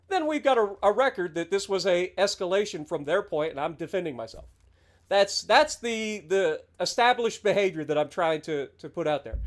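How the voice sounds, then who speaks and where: A man in his late thirties, a game streamer, talking through a heated, sarcastic, heavily profane rant on his livestream. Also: very high-pitched. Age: 30s.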